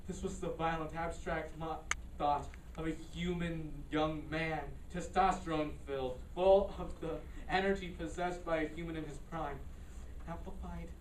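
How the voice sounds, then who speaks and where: An actor in a staged reading playing a tormented, unhinged scientist, speaking intensely in halting dramatic phrases. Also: mid-range voice, fairly even intonation, close to an everyday voice. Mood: grim intensity. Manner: halting, intense, swinging between hush and screaming. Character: tormented, unhinged scientist haunted by the minds he killed. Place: indoor room, staged reading. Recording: echoey, noisy room from far off.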